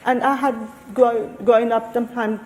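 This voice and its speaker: A woman speaking on a panel as a researcher, thoughtful and halting, often restarting her sentences. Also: on the high side, fairly even intonation, a little mellow. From Australia. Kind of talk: panel discussion answers. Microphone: handheld microphone. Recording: long hall reverb.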